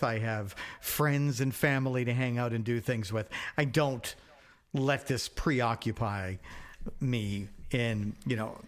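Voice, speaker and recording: A man with a mid-pitched voice talks casually as a podcast co-host. He is plain-spoken and musing, offering opinions with a wry edge.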